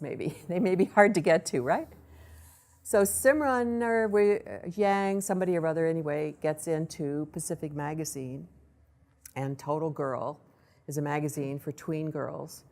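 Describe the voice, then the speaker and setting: A woman presenting a startup case study to an audience in a lively storytelling way, acting out what people said. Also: low-pitched. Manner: lively storytelling, acts out quoted voices. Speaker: accelerator program director giving a presentation.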